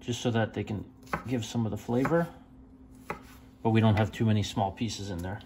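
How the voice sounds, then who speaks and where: A young man hosting a home cooking channel, talking through a recipe step by step in a relaxed, plain-spoken way with small fillers and self-corrections. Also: on the low side, moderate pace, background noise. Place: home kitchen at the stove.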